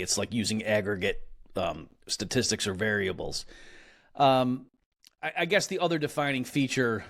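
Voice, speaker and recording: A man's voice: medium pitch, fairly bright tone. A man being interviewed as an economist, explaining ideas in a casual, conversational way with frequent fillers and restarts. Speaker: economist guest.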